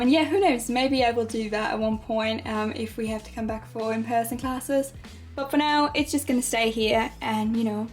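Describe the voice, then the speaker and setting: Young german-native woman chatting through her dorm room tour, slightly high voice, says things twice like "very, very", soft music underneath. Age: young adult. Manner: chatty, repeats words for emphasis ("very, very"). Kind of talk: casual tour narration.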